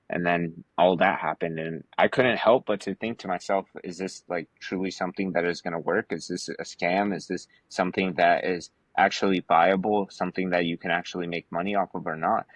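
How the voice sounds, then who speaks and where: Really deep voiced guy from afghanistan, casual slangy podcast guest, emphatic, dry sound. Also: dry recording. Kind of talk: casual conversational storytelling.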